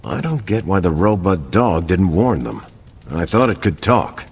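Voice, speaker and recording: A low-voiced, inquisitive hero. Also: male voice, big pitch swings, measured pace, slightly rough, heavily acted. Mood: puzzled, curious. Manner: inquisitive, matter-of-fact. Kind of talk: video game cutscene dialogue, natural conversational acting.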